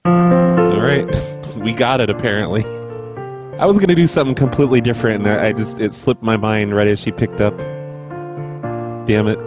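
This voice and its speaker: Deadpan prank-calling man. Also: lowish voice, normal speed, long pauses, over music. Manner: deadpan, crude, mock-serious. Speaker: prank call host. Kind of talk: prank phone calls, playing mall Santa.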